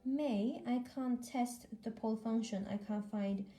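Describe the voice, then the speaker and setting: A woman's voice: a little high, slow pace, mellow, soft background music. A woman chatting casually with children as a live storytime host, encouraging and full of questions.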